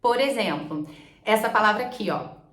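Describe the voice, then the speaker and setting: A woman's voice: mid-range voice, lively intonation, normal speed, fairly bright tone. A woman with a Brazilian Portuguese accent explaining exam tips to the camera as an English teacher. She checks in with her listeners often. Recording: echoey room.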